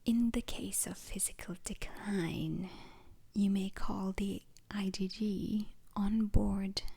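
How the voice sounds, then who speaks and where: A woman playing a calm, formal instructor at a space agency in an ASMR roleplay, whispering slowly and haltingly with a breathy, husky voice. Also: medium pitch, exaggerated voice. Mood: calm, instructive. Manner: slow, deliberate, halting, formal.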